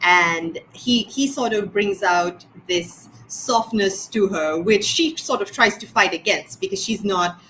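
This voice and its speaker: A woman's voice: mid-range voice, unhurried, few pauses, fairly bright tone, slight background noise, rough recording. Thoughtful Sri woman author. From Sri Lanka. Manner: thoughtful, many fillers and restarts. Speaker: author guest. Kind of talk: casual interview answers.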